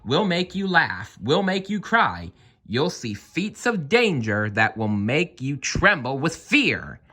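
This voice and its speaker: Storybook circus ringmaster, acted by a man: grandiose, pitched high, drawn-out calls to the crowd. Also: big pitch swings, sharp tone, full character voice. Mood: showy, rousing. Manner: booming, drawn-out announcer delivery. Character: showy male circus ringmaster, loud and grandiose. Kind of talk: storybook character voice.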